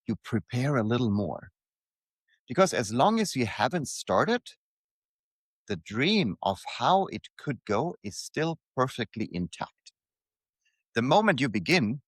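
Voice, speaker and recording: A man reading his own reflective motivational piece aloud in deliberate short phrases, leaning on repetition for emphasis. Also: medium pitch, no room sound, clean studio sound.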